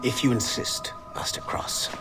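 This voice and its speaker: A man's voice: unhurried, loud music behind the voice, close to an everyday voice. A man playing a proud, obedient initiate of an order in a video game, stiff, formal and smug. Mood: haughty.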